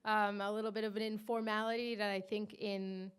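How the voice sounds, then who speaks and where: Smooth-voiced American woman, chatty. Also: medium pitch, little pitch movement, a little fast. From Washington area, USA. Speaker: co-founder of a digital media company. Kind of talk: closing remarks at a panel.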